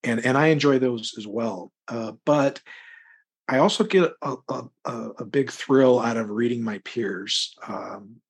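A middle-aged man with a husky voice giving casual interview answers, thinking aloud in rambling, broken phrases. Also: mid-range voice, a little slow. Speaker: interview guest, crime novelist.

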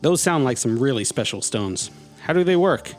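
A radio host voices a scripted ad, asking upbeat, curious questions. Light music sits behind him. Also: male voice, mid-range voice, expressive, normal speed. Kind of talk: scripted ad interview.

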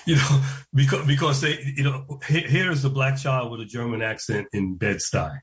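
A man, a guest recalling his past in an interview, slow and reflective and often repeating words as he restarts. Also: medium pitch, soft background music. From New York. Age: 70s. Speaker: guest, education activist. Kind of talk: interview, personal recollection.

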